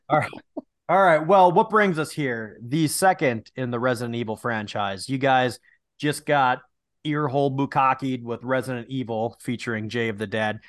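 A man's voice: mid-range voice, normal speed, no echo, studio quality. Hyped joking man hosting. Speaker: podcast host. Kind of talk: casual chat.

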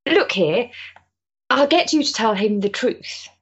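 A woman's voice: fairly high pitch, animated, a little slow, fairly bright tone, slightly rough, lo-fi sound, exaggerated voice. An actress playing a sensible, kindly married Victorian woman in a period stage drama, polite and matter-of-fact. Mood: polite, confiding.

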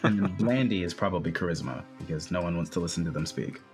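A man's voice: deep voice, lively intonation, fast talker, darkish tone, soft background music. A man playing in a podcast escape room, reasoning through clues matter-of-factly. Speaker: player. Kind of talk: casual game chat.

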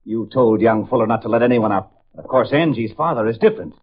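A man's voice: fairly low pitch, quick pace, close to an everyday voice. A middle-aged American man playing a bitter, deluded former football star and jealous father in a 1950s radio drama, boastful and menacing. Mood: scornful boasting. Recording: muffled vintage radio recording.

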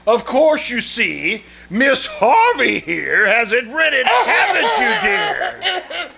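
Man acting high, creaky, flustered rental agent. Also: drawn-out words, a crowd in the background, exaggerated voice. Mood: confused. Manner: excitable, bewildered, exclamatory. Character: Mister Elwood, flustered, exasperated male rental agent. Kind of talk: 1940s radio comedy acting.

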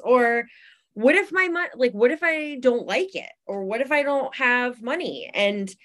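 Female interview guest, conversational and reflective, slow-paced delivery, medium pitch. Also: fairly bright tone. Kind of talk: conversational self-help discussion.

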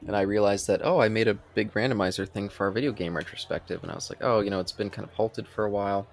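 A man's voice: on the low side, steady tone, fast talker, vocal fry. A man co-hosting a podcast in casual, conversational chat. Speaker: podcast co-host.

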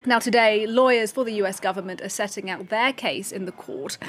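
A woman's voice: medium pitch, brisk, a bit bright. A woman news presenter introducing a report in broadcast style.

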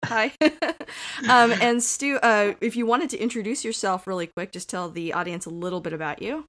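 A woman's voice: mid-range voice, fairly even intonation, moderate pace, fairly bright tone. Chatty woman hosting a podcast. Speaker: podcast host. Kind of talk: casual podcast chat.

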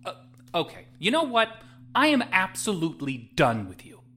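A man's voice: sits high, wildly swooping pitch, moderate pace, bright, forceful tone, husky, heavily acted. A man playing a hot-headed, sarcastic young space adventurer in an audio drama. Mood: threatening.

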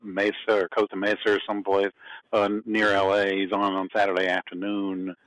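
A man with a fairly low voice takes part in a casual radio discussion. He reminisces thoughtfully, feeling his way through each sentence with pauses. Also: monotone, fairly bright tone, no room sound, low-quality recording. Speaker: radio show panelist.

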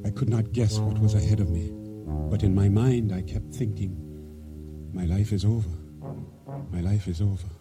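A man acting a regretful island boatman in a radio drama, narrating and speaking in a solemn, measured way. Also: lowish voice, steady tone, over loud music, natural voice. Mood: solemn, despairing. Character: regretful male island boatman recounting his downfall. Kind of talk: first-person narration and dialogue in radio drama.

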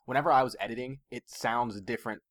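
A young man co-hosting a casual podcast, getting animated and ranting at his friends. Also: mid-range voice, quick pace. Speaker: podcast co-host. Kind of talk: casual chat.

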